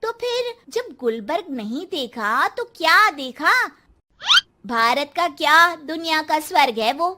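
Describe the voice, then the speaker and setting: A woman's voice: high-pitched, animated, slow pace, heavy background noise. A woman playing a sensible young sister-in-law in a cartoon, polite but pointed and matter-of-fact. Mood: pointed pride. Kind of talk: cartoon moral-story voice acting.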